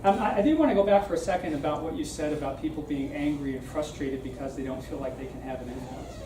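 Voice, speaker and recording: Higher-voiced man speaking in a roomy space. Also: fast talker, dark tone. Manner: explanatory, conversational, often seeks agreement with "right?". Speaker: speaker giving a civic talk. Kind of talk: informal public talk with audience questions. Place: room at a live meeting. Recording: roomy, distant mic, clear background noise.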